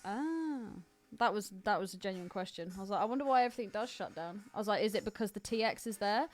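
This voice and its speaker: A woman's voice: mid-range voice, expressive, fast talker. A British woman co-hosting a film podcast in casual chat, with a cheeky, teasing, bawdy way of talking. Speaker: podcast co-host.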